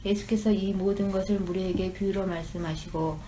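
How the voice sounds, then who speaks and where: A woman from a church study group reading a Bible passage aloud in a steady, even voice. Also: medium pitch, fairly quick, a little hiss or hum, poor audio. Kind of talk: reading scripture aloud and answering.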